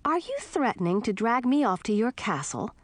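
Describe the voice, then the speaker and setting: A woman's voice: on the high side, big pitch swings, normal speed, no echo, voice pushed up. A woman voicing a bold, earnest young princess with magic powers, insistent and forthright, in a storybook reading. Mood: worried, insistent.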